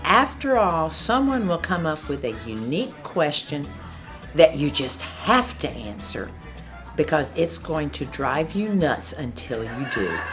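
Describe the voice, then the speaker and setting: A woman from Alabama answering interview questions in an unhurried, deliberate way. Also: deep voice, very animated, music in the background. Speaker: site volunteer.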